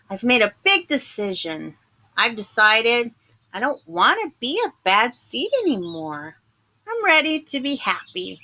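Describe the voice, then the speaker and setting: A small storybook sunflower seed confesses to being bad, as a woman reader acts it out. The delivery is slow, confiding and dramatic, with big swings of pitch and a bright edge, glum yet earnest. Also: heavily acted. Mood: glum, then earnest. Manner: dramatic, confiding, drawn-out emphasis. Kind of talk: picture book narration in first person.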